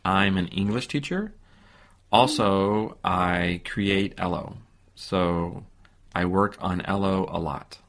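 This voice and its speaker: An American man in his forties giving simple, deliberate answers in a beginner English listening lesson. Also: low-pitched, a bit bright. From San Francisco, United States. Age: 40s. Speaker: English teacher. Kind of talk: slow, simple scripted-style interview answers for beginner learners.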